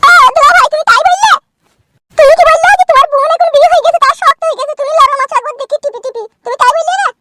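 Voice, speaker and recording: Cartoon young wife: extremely high pitched-up voice, sharp, scolding, outraged. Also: male voice, rapid-fire, full character voice. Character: shrill, indignant young Bengali wife and her womenfolk.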